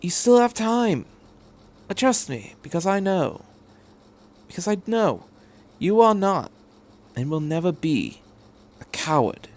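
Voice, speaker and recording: A man acts out an eccentric time-travelling man in a fan fiction story. His voice sits fairly high and he speaks with earnest emphasis, reassuring and energetic, repeating words as he drives a point home. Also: takes it slowly, pauses often, heavily acted. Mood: firm, encouraging.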